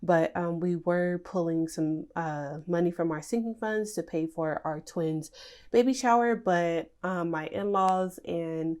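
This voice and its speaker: A woman's voice: on the low side, measured pace, darkish tone, clean tone. A young woman with a nasal, congested voice from a cold, chatting casually with many fillers as she goes over her budget planner. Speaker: budgeting channel host.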